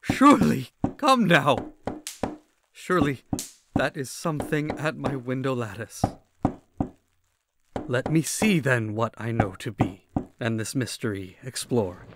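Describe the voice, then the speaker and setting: Haunted, slow-speaking grieving man. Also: mid-range voice, lively intonation, heavy background noise. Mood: anxious. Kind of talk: gothic audio drama acting of verse.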